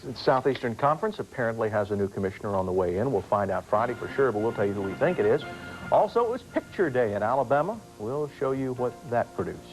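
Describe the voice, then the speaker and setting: A man's voice: mid-range voice, very animated, fast talker, soft background music, poor audio. A man delivering a scripted sports teaser as a television sportscaster.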